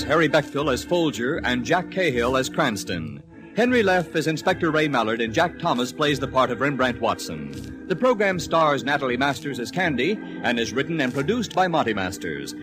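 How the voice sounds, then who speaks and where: A man reading the closing credits as announcer of a 1940s radio drama, formal and clearly enunciated. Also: medium pitch, big pitch swings, moderate pace. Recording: old radio broadcast over organ music.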